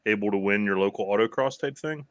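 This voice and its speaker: A man talking as a guest in a casual online chat, relaxed, with dry humor and hesitant fillers. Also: on the low side, quick pace, no echo. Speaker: guest from a parts company. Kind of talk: casual video-call chat.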